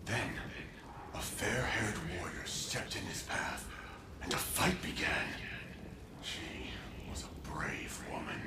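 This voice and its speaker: An actor playing a weary, haunted male spirit in a video game, slow and solemn, with a breathy, gravelly half-whisper. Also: fairly low pitch, animated. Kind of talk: video game drama acting. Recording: loud music over the voice.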